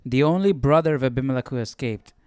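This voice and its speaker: A man with a smooth, mid-to-low voice hosts a casual study stream. He reads bits aloud and puzzles over them out loud, recorded close and dry. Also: fast, no echo. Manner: thinking aloud, self-questioning. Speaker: live stream Bible study host. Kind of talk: casual study commentary, reading aloud and reacting.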